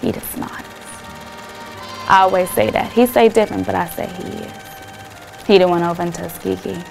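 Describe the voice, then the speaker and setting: A woman's voice: on the low side, fairly even intonation, moderate pace, gravelly, soft background music, low-quality recording. An actress in her twenties playing a nervous, proud 1940s big sister with a hesitant, homespun manner. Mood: fond, proud. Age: 20s. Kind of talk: film monologue as 1940s interview.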